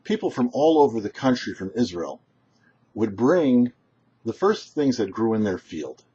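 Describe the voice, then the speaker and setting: A very husky, rough-voiced man delivers a short religious lesson as a rabbi. His pitch moves up and down in a lively way, with deliberate, emphatic phrasing and dramatic pauses. The recording is slightly dull and muffled. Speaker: rabbi, show host. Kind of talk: short religious teaching talk.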